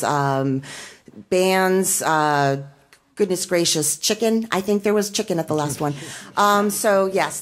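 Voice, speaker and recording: A woman who performs in a band, talking casually and introducing things on a live radio show. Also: lowish voice.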